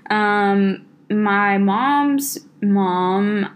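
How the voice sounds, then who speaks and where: A woman's voice: medium pitch, extremely slow. Chatty woman, lots of "like". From Southern United States. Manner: rambling, full of "like" fillers. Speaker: podcast co-host. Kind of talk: casual chat.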